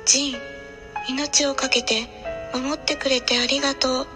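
A woman's voice: sits high, little pitch movement, music in the background, lightly acted. A woman acting an urgent, heartfelt, solemn narrator in an audio drama trailer. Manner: solemn, deliberate, intense.

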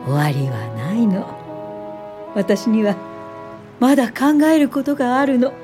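An actress playing a reflective, gentle eighty-year-old woman educator, narrating and talking in a radio drama in a measured, contemplative way. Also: on the high side, sing-song, soft background music.

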